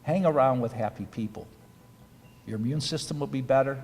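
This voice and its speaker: A man's voice: medium pitch, brisk, darkish tone. A man as a dental school dean giving an earnest, advice-filled ceremony speech. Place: auditorium. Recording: reverberant hall.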